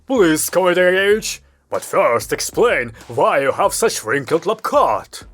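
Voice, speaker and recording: A man's voice: on the high side, sing-song, unhurried, a bit bright, soft background music, heavily acted. A man voicing a stern, composed Soviet military commander with a curt, authoritative manner in an audio story.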